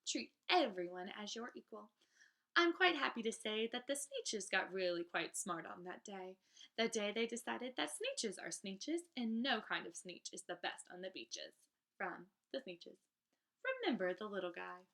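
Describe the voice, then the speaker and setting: A woman's voice: mid-range voice, lively intonation, normal speed, gravelly, bright, forceful tone. A woman reading rhyming children's verse aloud in a theatrical, emphatic teacher's manner, drawing out key phrases.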